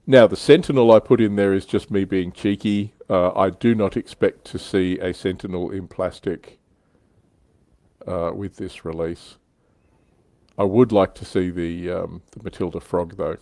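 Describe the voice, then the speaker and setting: A man with a very low voice hosts a relaxed hobby livestream. He sounds close and dry, and talks in a chatty, joking, informal way, pausing to read comments from viewers. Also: no room sound. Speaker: livestream host. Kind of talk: casual hobby discussion, reading out viewer comments.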